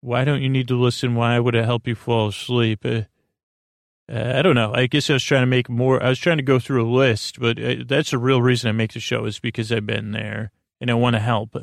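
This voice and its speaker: A man hosting a bedtime podcast in a meandering, halting monologue, often drifting off his train of thought. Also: mid-range voice, little pitch movement, vocal fry, no room sound, studio quality. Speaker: podcast host.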